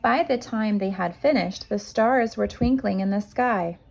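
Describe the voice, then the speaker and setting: A woman narrates a picture book for small children. Her delivery is unhurried and expressive, with a mid-range voice and simple, clear phrasing. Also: medium pitch, low-quality recording. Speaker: kindergarten teacher reading aloud.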